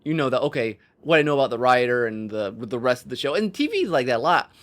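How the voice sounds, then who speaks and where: A man's voice: mid-range voice, lively intonation, quick pace, fairly bright tone. Man thinking aloud in casual discussion. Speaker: podcast co-host.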